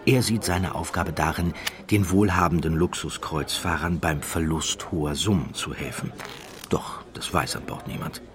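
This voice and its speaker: A man narrating an audiobook from a literary script, with measured, dramatic phrasing. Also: low voice, fairly even intonation, a crowd in the background.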